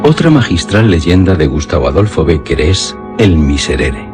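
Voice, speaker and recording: A man's voice: low-pitched, very animated, normal speed, over music. A man presenting scripted literary background as a radio announcer.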